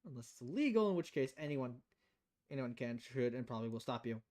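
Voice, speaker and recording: A woman voicing a stern, world-weary female bartender in a visual novel, deadpan, blunt and measured. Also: extremely low pitch, voice pushed down. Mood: blunt advice.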